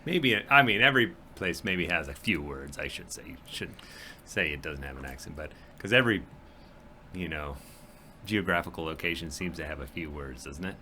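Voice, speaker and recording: Deep-voiced laid-back musician man. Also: expressive, moderate pace, a little hiss or hum. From Montana. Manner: laid-back, trails off quietly, playful jabs. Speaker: musician guest. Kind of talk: casual chat. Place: studio.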